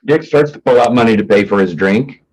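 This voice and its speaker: A man's voice: fairly low pitch, brisk, slightly rough, poor audio. A man narrating stage directions for a play, reading from a script in the present tense.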